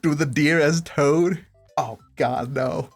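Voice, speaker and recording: A woman's voice: deep voice, slow pace, over music. A woman giving casual game commentary as a streamer, playful, giggly and sweary. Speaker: gaming streamer.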